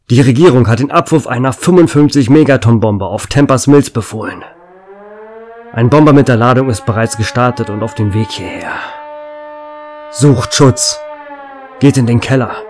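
A man's voice: medium pitch, normal speed, pauses often, natural voice. A man playing a doomed small-town radio host. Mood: alarmed. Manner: urgent broadcast announcing, turning quiet and resigned. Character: male small-town radio host Gary, facing doom. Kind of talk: German fan radio drama acting.

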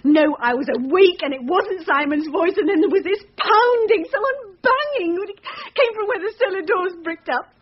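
An actress playing an anxious, frightened wife in a radio thriller, tremulous and pleading. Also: female voice, very high voice, expressive, moderate pace, few pauses. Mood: distraught. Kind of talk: radio thriller drama acting. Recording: dull radio drama recording.

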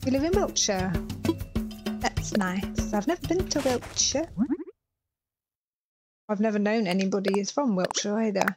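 A woman's voice: mid-range voice, unhurried, long pauses, loud music behind the voice. Chatty Welsh-border woman gaming live. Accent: Welsh-border English. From England (Herefordshire). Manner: chatty, rambling, reads chat aloud. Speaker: streamer. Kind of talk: casual live-stream chat while gaming. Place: small room indoors. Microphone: headset.

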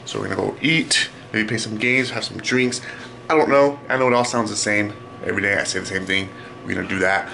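A man's voice: fairly low pitch, fast talker, vocal fry, pushed and bright, a little hiss or hum. A young man in his twenties chatting playfully to his handheld camera in a small apartment. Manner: playful, teasing, loose and jokey. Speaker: vlogger. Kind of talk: casual home vlog chat. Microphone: handheld camera at arm's length.

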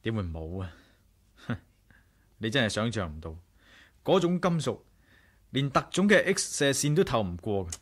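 An actor with a Hong Kong Cantonese accent playing a level-headed, inquisitive adventurer in a 1980s radio drama. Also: male voice, fairly low pitch, sing-song, moderate pace, long pauses. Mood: puzzled, frustrated.

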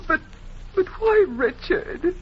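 An actress playing a grief-stricken young wife, crying melodramatically in a 1940s radio play. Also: female voice, extremely high pitch, very animated, slow pace, exaggerated voice. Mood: anguished. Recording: muffled, noisy 1940s radio recording.

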